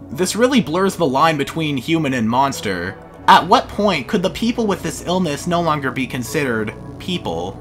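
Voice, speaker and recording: A man's voice: on the high side, a bit bright. A man narrating a scripted video essay in an informal, opinionated way.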